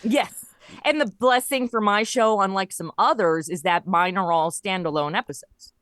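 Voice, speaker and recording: A woman chatting casually as a podcast guest, telling things in an animated, conversational way and voicing bits of dialogue as she goes. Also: medium pitch, moderate pace, dry recording, studio quality. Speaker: podcast guest, audio drama creator and voice performer. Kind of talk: casual interview chat.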